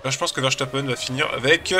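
A man's voice: medium pitch, steady tone, quick pace, pushed and bright, soft background music. Man with a cold chatting over gameplay. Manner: chatty, rambling, hesitant with many fillers. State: has a cold. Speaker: gaming channel host. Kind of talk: casual commentary while playing a racing game.

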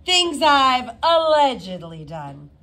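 Woman voicing a cheeky, high-pitched schoolgirl. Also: big pitch swings, takes it slowly, a bit bright, heavily acted. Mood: sly defiance. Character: cheeky, self-assured schoolgirl with sly wit.

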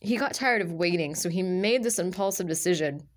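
A woman with a slightly low voice gives a casual tarot reading, her nose stuffy from allergies. She rambles and circles back often, filling gaps with "like" and "um." She talks over the cards at her table. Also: brisk. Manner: rambling, hesitant, many fillers and restarts. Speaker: tarot reader.

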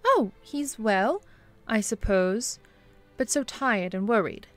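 An actress playing a kindly, long-suffering bishop's wife in earnest, gentle period drama style. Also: female voice, a little high, animated, slow, faint music behind. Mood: polite, concerned. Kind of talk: period radio-drama acting.

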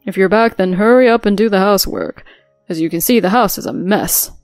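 Woman reading as a selfish young husband, dismissive, demanding, a bit louder than her narration, dry close sound. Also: mid-range voice, a little fast, dry recording, faint music behind, natural voice.

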